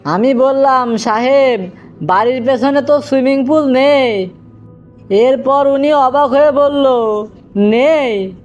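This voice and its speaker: Man playing young comic cartoon character, excitable storyteller, high pitch, muffled audio, prominent background music. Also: slow pace, pauses often. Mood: panicked pleading. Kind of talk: scripted cartoon comedy.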